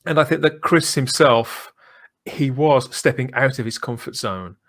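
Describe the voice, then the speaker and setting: A man's voice: mid-range voice, measured pace, fairly bright tone. A British man in his forties hosting a podcast in a casual, playful, jokey way. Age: 40s. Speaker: podcast host. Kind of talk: casual conversational chat.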